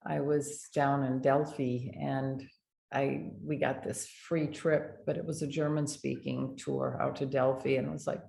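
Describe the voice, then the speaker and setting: An older American woman, a dental hygienist, telling animated stories in a casual interview. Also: very deep voice, a little slow, creaky. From United States. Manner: animated anecdotes with laughter. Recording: echoey room, faint noise.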